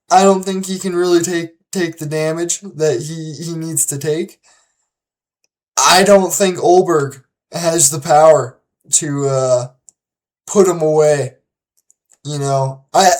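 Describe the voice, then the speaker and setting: A host giving relaxed solo commentary and predictions in an unhurried, drawn-out delivery at a middle pitch. The speech is loose, with many restarts and repeated words, and it grows confident and emphatic whenever the host makes a prediction. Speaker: host of a fight-prediction channel.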